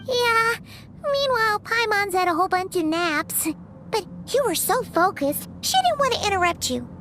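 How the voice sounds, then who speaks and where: A woman's voice: very high voice, animated, normal speed, fairly bright tone, slight background noise. An actress voicing a tiny, bubbly female companion creature in a video game, who talks about herself in the third person. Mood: chatty. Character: tiny floating female companion, chirpy and playful. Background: game music.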